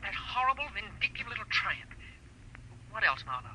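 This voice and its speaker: An actress playing an anxious, spiteful detective's client, urgent and bitter, on an old radio drama recording. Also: female voice, medium pitch, sing-song, normal speed, sharp tone, a little hiss or hum, poor audio.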